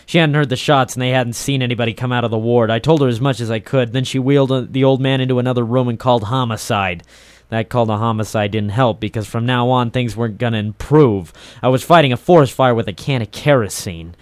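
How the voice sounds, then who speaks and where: A sardonic, hard-boiled man of the docks, played by a male radio actor, with a medium-pitched voice and a deadpan delivery. He tosses out wisecracks in classic late 1940s noir style. The sound is that of an old radio drama. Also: fast talker, dry recording, lightly acted. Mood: wry, deadpan. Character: cynical wisecracking male private troubleshooter of the docks.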